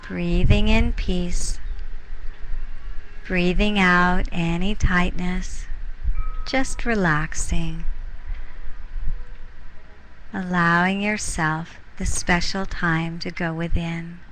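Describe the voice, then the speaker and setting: A woman leading a guided meditation as a teacher, speaking in soothing, unhurried short phrases with pauses. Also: fairly low pitch, steady tone, fairly bright tone, a little hiss or hum, poor audio. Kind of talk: guided meditation, softly instructing.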